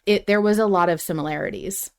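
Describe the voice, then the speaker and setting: A woman co-hosting a podcast in casual fan discussion, speaking emphatically and quick to laugh. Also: fairly low pitch, smooth. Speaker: podcast co-host.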